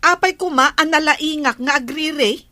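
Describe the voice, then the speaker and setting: An actress with an Ilocano Filipino accent playing a feisty, easily offended village wife in a comedy radio drama. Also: female voice, high-pitched, normal speed, sharp tone, dry recording. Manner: confrontational, questioning.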